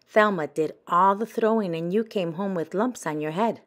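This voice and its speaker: A woman's voice: mid-range voice, moderate pace, natural voice. A woman voicing a mother badger in a picture book, questioning and admonishing. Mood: reminding. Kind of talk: children's picture book read-aloud.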